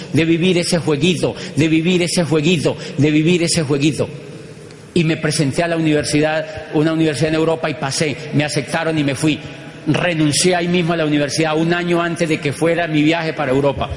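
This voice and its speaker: A middle-aged man with a Colombian accent tells his story to an audience in a slightly high voice, hammering home phrases through rhythmic repetition. The sound bounces around a large hall with a long reverb tail and a faint room hum underneath. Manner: animated, rhythmic repetition, acts out dialogues. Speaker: motivational speaker.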